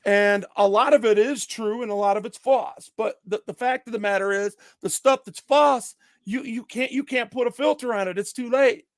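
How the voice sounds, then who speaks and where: A man's voice: high-pitched, normal speed, hardly any pauses, no echo, clean studio sound. A man talking politics in casual chat, blunt and emphatic, swearing freely.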